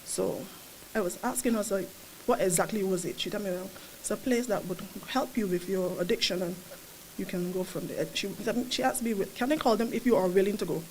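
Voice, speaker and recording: A woman's voice: mid-range voice, brisk, slight background noise, poor audio. A woman in her thirties with a Caribbean accent, hesitantly sharing her personal recovery story at a press event, speaking into a podium mic. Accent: Caribbean English. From Barbados, raised in Saint Lucia. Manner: hesitant, soft-spoken, plain storytelling. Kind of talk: personal testimony. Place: conference room at a press event. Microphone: podium mic on a stand.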